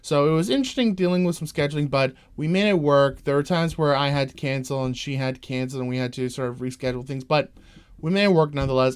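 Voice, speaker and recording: A man's voice: fairly high pitch, smooth. A Canadian man hosting a podcast, eager and rambling with plenty of fillers. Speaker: podcast host. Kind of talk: enthusiastic podcast intro and interview.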